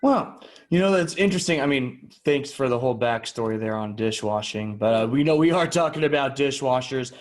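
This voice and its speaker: A man's voice: mid-range voice, expressive, brisk, few pauses, close to an everyday voice. A man playing an earnest, hesitant, polite moderator of an improvised mock panel debate.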